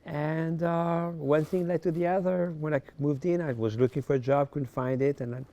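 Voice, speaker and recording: A man in his sixties from Morocco, raised in France, telling his life story as a podcast guest in a halting way, often repeating words. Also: a little high, fairly even intonation, warm tone. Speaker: podcast guest, fashion designer. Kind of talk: casual interview storytelling.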